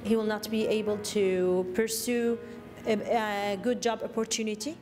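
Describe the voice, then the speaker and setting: A woman giving an interview answer, halting and searching for words. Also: medium pitch, flat delivery, darkish tone. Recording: long reverb with music.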